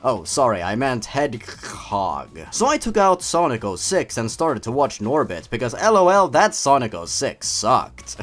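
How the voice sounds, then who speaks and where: Male comedy narrator, theatrical and mocking, hugely animated mid-range delivery, faint music underneath. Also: medium pitch, unhurried. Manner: theatrical, mocking, exaggerated emphasis. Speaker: host of a story-reading comedy show. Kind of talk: comedic narration and commentary on a horror story.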